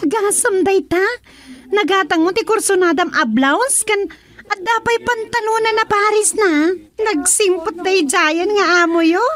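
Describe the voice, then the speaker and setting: A nosy Ilocano gossip in a radio drama. Her voice is extremely high, excitable and teasing. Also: unhurried. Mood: envious, teasing.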